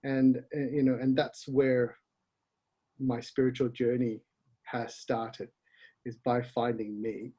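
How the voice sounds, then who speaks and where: Husky middle-aged man, chatty and laughing. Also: medium pitch, level tone, takes it slowly, warm tone. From Malaysia. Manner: laughs often, rambling and open. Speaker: founder being interviewed. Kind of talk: casual interview chat.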